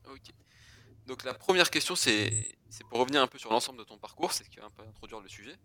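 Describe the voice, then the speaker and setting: Fast-talking bright-voiced male interviewer. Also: mid-range voice, expressive. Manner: quick, loose phrasing, restarts questions. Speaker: podcast host, interviewer. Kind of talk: casual interview.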